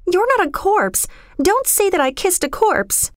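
A woman's voice: high voice, expressive, moderate pace, no echo, clean studio sound, voice pushed up. A woman storyteller voicing a spoiled rich young girl, emotional and blithely callous, in a dramatic, pleading manner. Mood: horrified, protesting.

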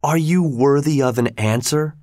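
A man's voice: on the high side, normal speed, darkish tone, no echo, voice pushed up, lightly acted. Storybook role: stern Sultan disguised as a servant, solemn, reproachful, slightly raised voice, played by a male narrator. Dry sound.

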